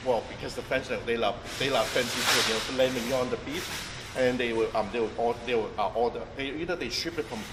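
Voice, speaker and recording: Halting man guiding a tour. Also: mid-range voice. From Vietnam. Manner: halting, many restarts and fillers, thinks aloud while explaining. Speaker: chef and tour guide. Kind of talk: informal guided-tour talk. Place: outdoors on a busy street near a market. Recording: distant, echoey street recording with traffic noise.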